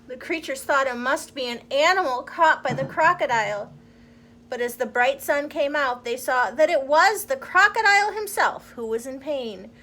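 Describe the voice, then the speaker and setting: High, bright woman reading a children's story. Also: lively intonation, normal speed. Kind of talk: expressive children's storybook narration.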